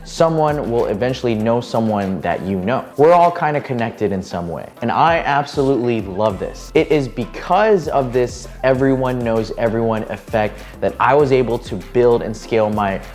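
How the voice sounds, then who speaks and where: A man's voice: medium pitch, expressive, normal speed, hardly any pauses, a little mellow, soft background music. A young man from Hawaii, a real estate agent hosting a casual vlog, talking in an upbeat, chatty way full of "you know" fillers.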